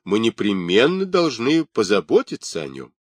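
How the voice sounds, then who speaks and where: A stern camp commander barking curt, official orders in a low voice. A man reads him in a dry, close recording. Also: huge pitch swings, moderate pace, no room sound.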